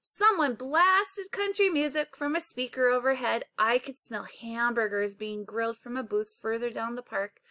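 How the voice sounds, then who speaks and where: A woman reads a children's story like a schoolteacher, in a high, bright voice. She takes her time with the narration, and the sound is dry. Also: normal speed, hardly any pauses, no room sound. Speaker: elementary teacher reading aloud. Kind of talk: scripted children's novel narration.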